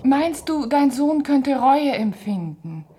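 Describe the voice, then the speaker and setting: An actress playing an earnest, devoted young maiden with a breathy voice in a radio verse drama. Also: female voice, sits high, big pitch swings, slow pace, warm tone, a little hiss or hum, exaggerated voice. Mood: eager, tender.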